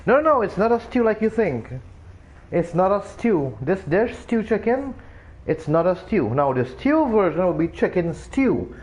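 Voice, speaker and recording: A man's voice: sits high, big pitch swings, a little slow, darkish tone. A man from Trinidad with a Trinidadian accent, chatting casually as a streamer and explaining things in a rambling way, full of restarts. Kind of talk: casual chat while gaming.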